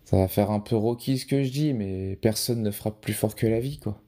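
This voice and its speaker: A man's voice: on the low side, moderate pace, warm tone. A young man answering interview questions in a casual, hesitant way, with frequent restarts and fillers. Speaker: podcast guest, photographer.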